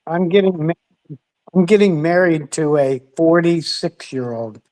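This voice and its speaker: A man's voice: fairly high pitch, measured pace, darkish tone. A man chatting with his family on a live stream, speaking hesitantly with restarts. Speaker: guest, brother. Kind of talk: casual family chat.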